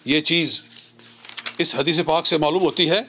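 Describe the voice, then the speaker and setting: Male Islamic cleric, notably high register. Measured, didactic religious lecture delivery, phrase by phrase. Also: pushed and bright, a little hiss or hum. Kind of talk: religious lecture citing scripture.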